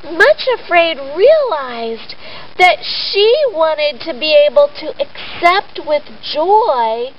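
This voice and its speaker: A woman telling a story to children in a simple, gentle teaching way, with pauses. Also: sits high. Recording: roomy echo, dull sound, faint noise.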